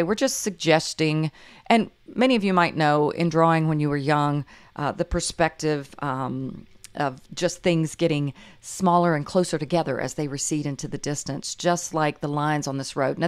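An older woman with a hoarse, raspy voice, gently talking viewers through a painting tutorial with many fillers. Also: sits low. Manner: gentle, encouraging, meandering with fillers. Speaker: art instructor.

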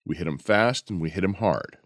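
A man's voice: deep voice, fairly quick, no room sound, clean studio sound. A man playing a decisive, combative fighter in an audio drama, firm and blunt. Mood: resolute. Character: decisive, combative fighter urging direct attack.

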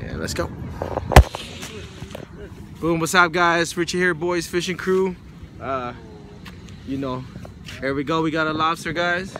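Excitable man vlogging outdoors at night. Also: fairly high pitch, unhurried, long pauses. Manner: excitable, whooping and laughing. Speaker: vlog host. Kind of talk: casual night fishing vlog. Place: outdoors on a harbor pier at night. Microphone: handheld camera mic. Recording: noisy outdoor camera audio. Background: traffic and outdoor noise.

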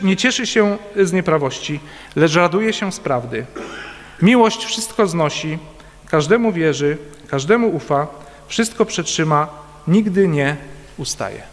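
A man with a fairly high voice delivers a formal, measured lecture from a lectern, filling pauses with soft hesitations. He is picked up by a distant camera, and the large church hall gives his voice a long, lingering reverb. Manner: measured, formal, hesitant fillers between phrases. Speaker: conference speaker. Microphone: standing at a lectern, recorded by a distant camera.

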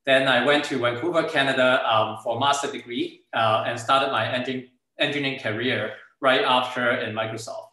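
A man with a Hong Kong accent, native in Cantonese, presents informally as a startup founder. His voice is bright and sharp at an ordinary pitch. It comes over a video call from a strongly echoing room. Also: steady tone, normal speed, few pauses. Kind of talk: informal slide presentation with product demo.

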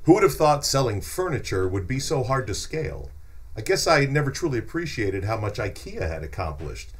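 A man's voice: medium pitch, sing-song, brisk, husky, faint music behind. A middle-aged man playing a wry podcast host who delivers scripted, sardonic quips in short punchy interjections. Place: small indoor podcast studio. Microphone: close studio microphone on a stand.

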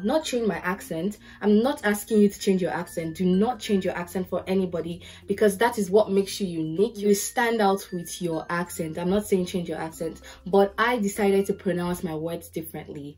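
A young woman from Nigeria with a Nigerian accent, giving casual advice to the camera and acting out little stories as she goes. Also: lowish voice. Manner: lively storytelling, acts out quotes. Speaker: podcast host, lawyer. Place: bedroom with curtains, indoors.

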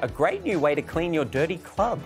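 An Australian man in his thirties hosts a lighthearted sports segment from a TV studio, joking his way through each clip. His voice sits a little high, picked up cleanly on a lapel mic. A clear music bed plays underneath. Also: big pitch swings. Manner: jokey, quick quips, laughs through his lines. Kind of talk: comic sports news segment. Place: TV news studio.

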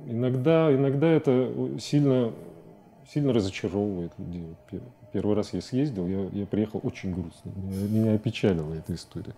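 Low, very mellow-voiced man, halting delivery. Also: animated. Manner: halting, thinking aloud, colloquial. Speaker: interview guest, animation filmmaker. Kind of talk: casual studio interview talk. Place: TV studio, indoors.

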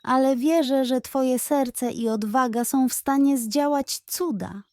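A woman voicing a small magical doll girl in a children's storybook, affectionate, earnest and excitable. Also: sits high, a little slow, dry recording. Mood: earnest, encouraging.

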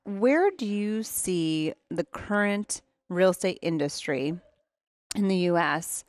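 A woman's voice: fairly low pitch, expressive, slow, no room sound. A woman hosting a podcast interview on a headset mic, asking questions and praising her guest. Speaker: podcast host. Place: sunny room with large windows.

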